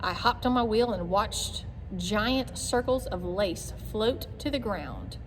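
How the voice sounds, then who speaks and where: A woman with a mid-pitched voice narrates a children's storybook expressively, in clear phrases. The recording has long reverb and some noise behind her. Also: sing-song, takes it slowly. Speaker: read-aloud reader.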